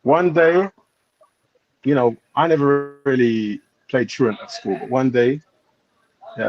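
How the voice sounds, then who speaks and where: Male panel guest, husky, dull lo-fi line; anecdotal storyteller. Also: on the low side. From England. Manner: anecdotal, checks in with listeners, drops his voice then rises.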